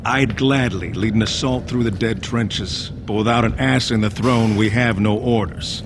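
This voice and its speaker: Man acting a low-voiced, blunt dwarf warrior commander. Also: fairly even intonation, moderate pace, lightly acted. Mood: blunt, sardonic. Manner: blunt, sardonic. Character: gruff, world-weary male dwarf warrior commander. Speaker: game character voice actor. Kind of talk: video game dialogue acting. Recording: game audio with background ambient rumble.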